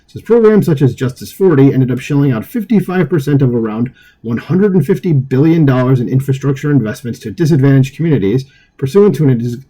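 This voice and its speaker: A man's voice: mid-range voice, dark tone, slightly rough, low-quality recording. A man reading a news article aloud at home and breaking in with sarcastic comments, stumbling over words now and then. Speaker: political commentary host.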